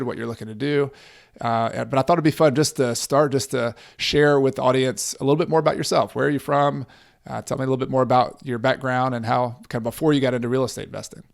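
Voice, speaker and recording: A man with a gravelly, fry-edged voice hosts a podcast and talks in an earnest, encouraging way. His pitch sits in an ordinary middle range for a man. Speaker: podcast host and real estate coach. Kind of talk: podcast interview.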